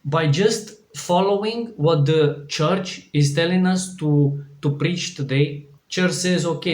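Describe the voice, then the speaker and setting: Earnest Romanian-accented male preacher, fairly high voice, slow and deliberate, restarting phrases, in a reverberant room. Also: a little mellow. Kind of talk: devotional sermon. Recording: echoey room.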